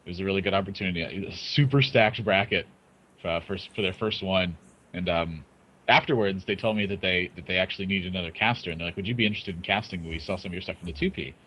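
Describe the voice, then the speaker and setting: This man talks in a fairly low voice with an easy, chatty flow. He laughs often between his points. He sounds like a guest on a casual podcast about gaming. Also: fast, a bit bright, low-quality recording. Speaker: guest, player and caster.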